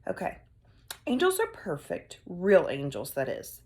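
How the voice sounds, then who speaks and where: A bright, playful schoolteacher, put on by a woman reading aloud, coaxing her pupils along with upbeat questions. Her pitch leaps around lively as she talks. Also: unhurried. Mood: cheerful.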